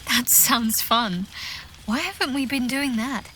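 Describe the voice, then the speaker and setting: A woman's voice: fairly high pitch, lively intonation, unhurried, bright, forceful tone, slight background noise. An actress playing an affectionate, teasing young woman adventurer in a video game, with a playful, wry manner. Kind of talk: video game character acting.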